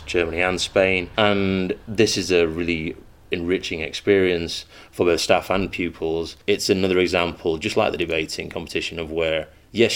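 A man's voice: very deep voice, a bit bright. A man who teaches modern languages at a school, talking earnestly and measuredly in an interview, with frequent ums. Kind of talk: interview monologue. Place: school in Manchester.

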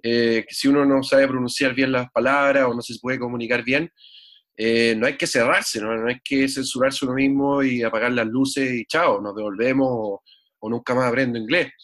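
A man's voice: mid-range voice, fairly even intonation, normal speed, fairly bright tone. A man from Chile with a Chilean accent, talking casually as an interview guest, rambling and repeating words. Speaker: guest musician.

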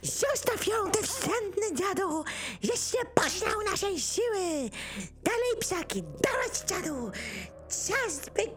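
A woman's voice: very high-pitched, sing-song, slow, faint music behind, voice pushed up, exaggerated voice. A woman voicing feisty, defiant little dogs who scold in rhyme in a comic Christmas audio play.

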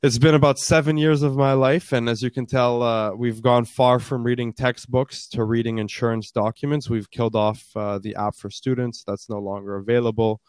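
A young man in his twenties with a deep voice, answering interview questions in a fluent, storytelling way with frequent fillers. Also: fairly even intonation, normal speed, few pauses, darkish tone, clean tone, no echo. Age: 20s. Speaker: startup founder guest. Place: based in Canada.

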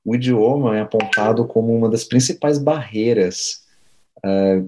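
A man's voice: fairly low pitch, moderate pace, noisy background. A man interviewing a guest, asking long, winding questions with many hesitations.

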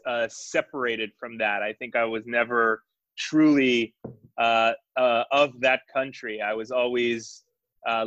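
A man's voice: medium pitch, a bit bright, no echo, lo-fi sound. A man from Israel chatting casually as co-host, thoughtful and hesitant, with frequent fillers. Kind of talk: casual chat.

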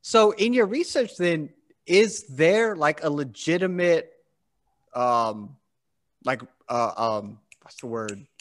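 A man's voice: fairly high pitch, lively intonation, takes it slowly. A man hosting a casual interview podcast, talking in an enthusiastic, chatty way. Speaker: podcast host.

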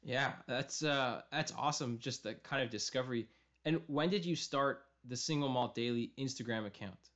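A man's voice: mid-range voice, moderate pace, fairly bright tone. A man hosting a podcast, asking questions in a casual interview style. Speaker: podcast host. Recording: slightly dull, lo-fi audio.

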